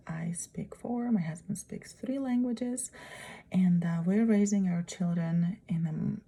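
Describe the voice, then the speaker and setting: A woman speaks in a low, gravelly, husky voice. Her tone is mellow rather than bright. She gives an unscripted presentation, halting and pausing often as she searches for the right words. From Uzbekistan. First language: Russian. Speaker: student presenting a course project.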